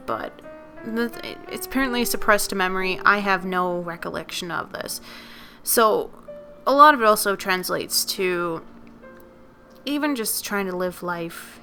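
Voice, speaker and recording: Tired raspy-voiced woman, rambling. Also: mid-range voice, pushed and bright. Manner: rambling, many fillers and self-corrections. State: tired, voice raspy and lower than usual. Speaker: video creator. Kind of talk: unscripted casual vlog talk over speed-drawing. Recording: music playing underneath.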